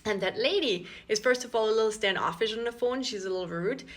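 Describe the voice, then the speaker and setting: A woman's voice: on the high side, quick pace. A woman in her thirties from Austria with an Austrian accent, chatting casually about her life abroad, full of fillers and restarts. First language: German. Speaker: expat vlogger. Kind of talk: casual storytelling to camera.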